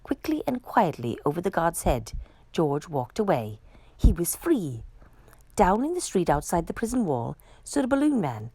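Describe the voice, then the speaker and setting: Animated woman reading a children's storybook. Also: lowish voice, moderate pace, breathy edge, dry recording, poor audio. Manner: expressive, dramatic storytelling with acted exclamations. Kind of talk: children's picture book read-aloud.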